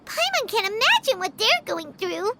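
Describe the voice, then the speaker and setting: A woman voicing a tiny, excitable childlike fairy companion in a video game, with a squeaky voice. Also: slow pace, very noisy, exaggerated voice. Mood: relieved, shaken. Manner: speaks of herself in third person.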